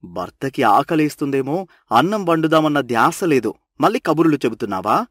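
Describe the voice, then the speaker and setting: A man's voice: on the high side, very animated, moderate pace, fairly bright tone. A man playing a grumbling, easily irritated husband in a cartoon story, blunt and complaining.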